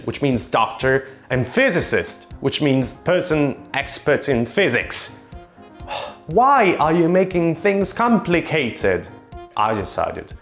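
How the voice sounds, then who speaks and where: A young man, a native Italian speaker with an Italian accent, ranting ironically and animatedly as a linguist on a casual vlog. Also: mid-range voice. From Italy. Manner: ironic, animated, exasperated rants. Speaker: vlogger, linguist.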